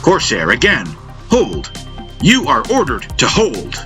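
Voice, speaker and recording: A man's voice: medium pitch, wildly swooping pitch, takes it slowly, pushed and bright, faint music behind, voice pushed up, full character voice. A man voicing a stern flight controller in a sci-fi audio drama, giving clipped, commanding orders. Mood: urgent command. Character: stern male flight controller barking orders over radio.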